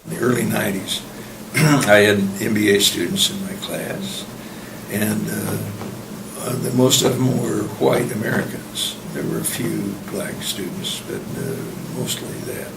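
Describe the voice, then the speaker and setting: An elderly man with a low, gravelly voice reflects in an interview in a halting way, circling back mid-sentence. He is some way from the microphone in a roomy space, with clear noise behind him. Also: fairly even intonation. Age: 70s or older. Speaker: university professor of finance. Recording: roomy, distant mic, clear background noise.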